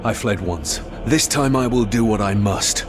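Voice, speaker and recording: A man's voice: lowish voice, a little slow, close to an everyday voice. An actor playing a determined young male hero in a game, earnest and terse. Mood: determined, wary. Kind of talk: game cutscene voice acting. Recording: game audio over loud music.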